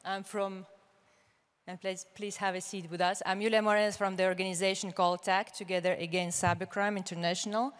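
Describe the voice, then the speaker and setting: A woman's voice: mid-range voice, clear, resonant tone. Woman moderator in a big conference hall. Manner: formal, hesitant with frequent fillers, reading from notes. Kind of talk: conference opening remarks. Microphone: handheld microphone. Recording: hall reverb, resonant voice.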